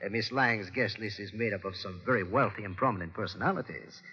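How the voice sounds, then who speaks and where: An actor in an old radio drama playing a suave, wealthy South American politician with formal, polite manners. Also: male voice, on the low side, fairly quick, sharp tone, slightly rough. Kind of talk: 1940s-50s radio detective acting. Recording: muffled old radio recording.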